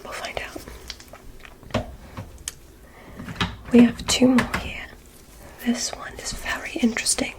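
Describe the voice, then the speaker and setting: Girl doing gentle curious alien examiner for asmr, all whispery n really slow, soothing. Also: mid-range voice, lightly acted, low-quality recording. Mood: soft, curious. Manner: slow, soothing, instructive, encouraging. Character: gentle, curious female alien examiner visiting from another planet.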